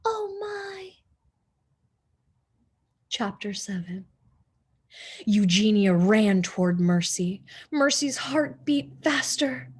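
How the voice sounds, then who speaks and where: A woman teacher reading a children's storybook aloud in an expressive narrating style. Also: mid-range voice, takes it slowly, husky.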